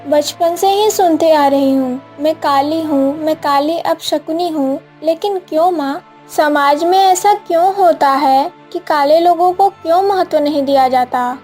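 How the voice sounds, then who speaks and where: Woman playing a high-voiced, wronged, gentle daughter-in-law. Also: unhurried, few pauses, soft background music. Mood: hurt, pleading. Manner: gentle, plaintive. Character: kind, hardworking elder daughter-in-law, hurt and wronged. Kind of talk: cartoon moral-story acting.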